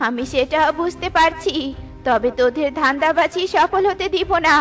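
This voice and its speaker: A woman's voice: high voice, normal speed, soft background music, lightly acted. A woman voicing a grumpy, suspicious auntie bird in a children's cartoon, scolding and irritated. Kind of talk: Bengali children's cartoon voice acting.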